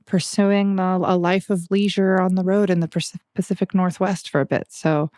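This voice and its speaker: A player on a tabletop game podcast, joking in a deadpan way. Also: male voice, sits high, normal speed, dry recording, clean studio sound. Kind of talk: casual podcast chat.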